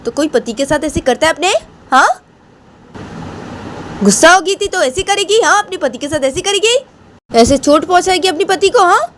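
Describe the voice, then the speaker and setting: A woman voicing an elderly grandmother who scolds her daughter-in-law, nagging and repeating herself in a shrill tone. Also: lively intonation, normal speed, pauses often, a bit bright, a little hiss or hum. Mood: indignant.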